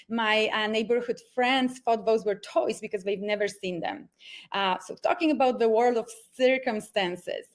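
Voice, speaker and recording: A woman from Poland with a Polish accent, speaking as a mindset coach hosting a motivational webinar and telling personal stories. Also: a little high. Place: at home.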